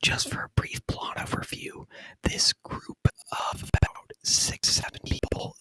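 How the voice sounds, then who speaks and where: A man speaking in a breathy whisper, chatting casually in ASMR style and softly repeating words, close to the microphone. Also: measured pace. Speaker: ASMR video creator reviewing a movie.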